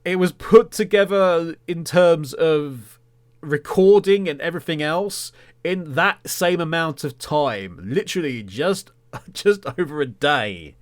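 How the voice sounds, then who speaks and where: A man with a slightly high-pitched voice talks at a very unhurried pace. He is a podcast host chatting casually on his own, rambling along with self-deprecating, mock-indignant humour. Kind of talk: casual solo podcast talk.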